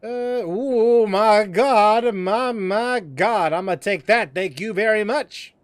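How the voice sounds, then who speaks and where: A man's voice: sits high, takes it slowly, no room sound. A man streaming a video game, rambling to himself with chuckles and repeated phrases as he plays. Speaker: gaming streamer. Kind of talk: casual gameplay commentary.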